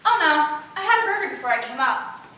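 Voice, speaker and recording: A woman's voice: high voice, animated, a little fast, sharp tone. A woman playing a vain, ditzy young actress with bubbly, emphatic chatter in a stage play rehearsal. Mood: chirpy, opinionated. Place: echoey indoor rehearsal room. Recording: very echoey room, distant mic, faint noise.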